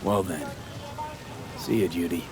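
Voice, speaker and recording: Low-voiced, dry, guarded mercenary. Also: male voice, fairly even intonation, unhurried. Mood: guarded, defensive. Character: hardened male mercenary, calm, dry and guarded. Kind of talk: video game cutscene acting, naturalistic dialogue.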